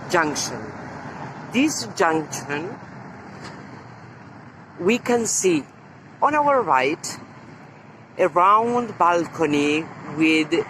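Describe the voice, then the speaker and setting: A middle-aged woman from Argentina with an Argentine Spanish accent, guiding a walking tour outdoors and slowly pointing out sights. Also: on the low side. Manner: slow, explanatory, points out sights. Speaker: tour guide. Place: outdoors on city streets and a tram. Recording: loud street and traffic noise.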